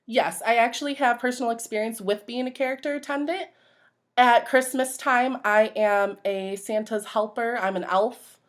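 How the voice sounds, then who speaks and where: A woman's voice: on the high side, normal speed. A young woman answering interview questions as a job applicant, earnest and a little nervous in manner. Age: young adult.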